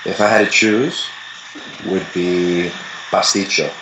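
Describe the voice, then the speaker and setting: A young man from the Bronx, chatting casually as an interview guest, relaxed and rambling with many fillers. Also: low voice, slow, echoey room, heavy background noise. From the Bronx, New York.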